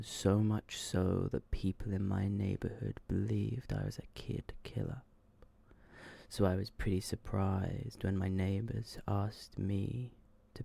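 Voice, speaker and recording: A man reading short horror stories in a soft, breathy, hushed ASMR style, often slipping toward a whisper. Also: on the low side. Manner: slow, hushed, subdued delivery. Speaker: ASMR storyteller.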